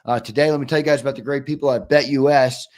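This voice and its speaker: A man's voice: medium pitch, fairly even intonation, fast talker, darkish tone. A man hosting a casual sports talk show, upbeat and losing his voice. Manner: upbeat, reads viewer questions and ad reads. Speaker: host.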